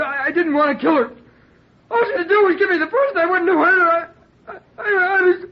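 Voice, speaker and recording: An actor playing a desperate murder suspect who sobs and stammers through a pleading confession, in old radio drama style. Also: male voice, very high voice. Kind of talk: 1940s radio acting. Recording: muffled old radio broadcast, faint noise.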